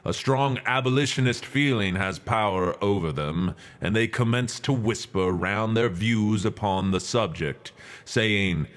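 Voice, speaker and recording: Man performing a slow, stern 19th-century orator. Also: on the low side, a bit bright. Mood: stern, insistent. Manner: measured, declamatory, formal oratory. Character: stern, resolute 19th-century religious leader orating to legislators. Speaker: reader. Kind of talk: dramatic reading of a 19th-century political speech.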